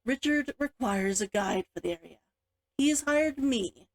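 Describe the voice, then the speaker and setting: A woman's voice: a little high, animated, unhurried, low-quality recording. A woman reading the part of a stubborn, defiant younger sister in a storybook, cool and firm.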